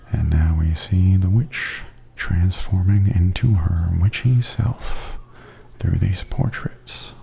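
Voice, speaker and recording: Male ASMR guide, slow and very mellow, breathy half-whisper, dry understated wit, recorded over background ambient noise. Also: low voice. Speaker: ASMR host and tour guide. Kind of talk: softly spoken ASMR commentary. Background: theme park haunted attraction ambience.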